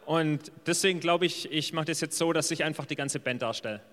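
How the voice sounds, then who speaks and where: A man's voice: a little high, a bit bright. A man interpreting a speaker live for a church audience, often restarting mid-phrase. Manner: quick, with restarts and fillers while translating. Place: church hall. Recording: reverberant hall.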